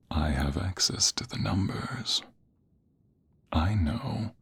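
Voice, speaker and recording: A man playing a smug, flirtatious office rival in an intimate ASMR roleplay, taunting in a deep, breathy, gravelly near-whisper. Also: fairly bright tone, exaggerated voice. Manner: slow, deliberate, taunting, drawn-out phrases. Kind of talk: ASMR romantic roleplay, intimate second-person acting.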